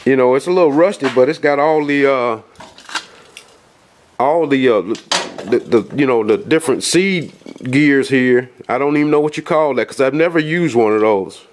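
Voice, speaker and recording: A man's voice: mid-range voice, normal speed, slight background noise. Stuffy-nosed man rambling in a basement. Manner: rambling, folksy, lots of fillers and restarts. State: has a cold, nasal. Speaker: outdoors video host. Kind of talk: casual vlog walkthrough. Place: dark basement of a house. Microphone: handheld camera.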